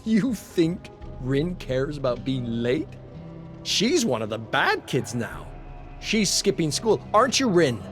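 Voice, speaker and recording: A man's voice: on the high side, very animated, slow. A man voicing a teasing, pushy schoolgirl with a sarcastic manner in a narrated story. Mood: taunting. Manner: taunting, sarcastic. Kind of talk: storybook character dialogue. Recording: voice over background music.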